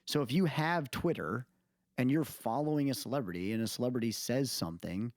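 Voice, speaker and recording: A man's voice: medium pitch, fairly quick, a little mellow, no echo, clean studio sound. A man co-hosting a podcast in casual conversation, emphatic and repeating phrases to drive points home. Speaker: podcast co-host. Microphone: close mic on a remote recording call.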